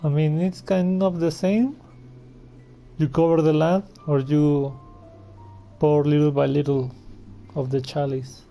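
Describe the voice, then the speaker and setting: A man's voice: fairly high pitch, flat delivery, takes it slowly, long pauses, mellow, faint music behind. A man giving casual, informal, sweary commentary while he plays and reacts to a video game. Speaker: gamer playing and reacting on video.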